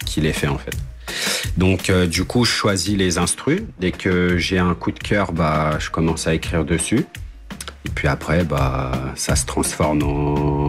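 A young man, an independent rapper with a Portuguese family, answering interview questions casually, hesitant with many fillers and restarts. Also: sits low. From France, Portuguese family.

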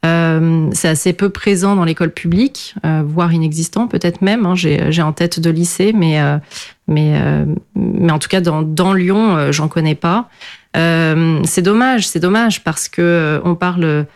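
A woman's voice: on the low side, unhurried, a little mellow. A middle-aged woman from France whose first language is Portuguese, answering interview questions in a hesitant way with many fillers and restarts. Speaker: guest.

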